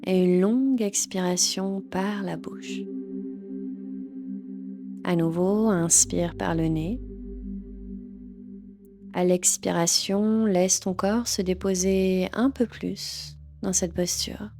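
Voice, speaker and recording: A woman teaching yoga nidra, leading a guided relaxation in a gentle, soothing, instructional manner. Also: on the low side. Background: background music.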